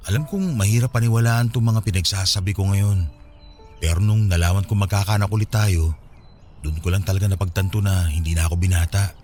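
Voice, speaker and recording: Actor as a remorseful, pleading husband. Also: male voice, on the low side, darkish tone, close to an everyday voice. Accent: Filipino. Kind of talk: Filipino radio drama acting. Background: music.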